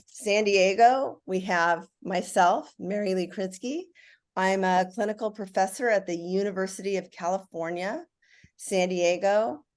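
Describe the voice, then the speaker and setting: A woman's voice: mid-range voice, a little mellow. A middle-aged woman hosting and moderating a panel with a formal, welcoming script.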